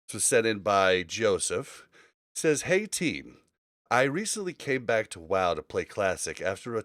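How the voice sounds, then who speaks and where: A man's voice: fairly low pitch, no room sound. A man hosting a casual gaming podcast, speaking conversationally into a close mic. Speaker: podcast host.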